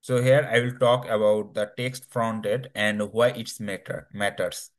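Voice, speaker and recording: A man with a slightly low voice presents technical slides. He speaks slowly and haltingly, searching for his words in non-native English phrasing. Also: steady tone.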